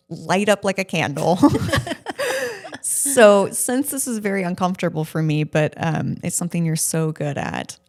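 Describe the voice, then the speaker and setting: A woman's voice: fairly low pitch, darkish tone. A woman co-hosting a podcast in casual conversation, with a blunt, self-deprecating way of talking. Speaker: podcast co-host interviewing her partner.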